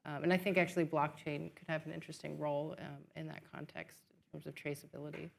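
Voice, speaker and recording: Deep gravelly woman panelist. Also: little pitch movement, slight background noise. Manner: measured, hedging with fillers. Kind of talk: panel discussion remarks.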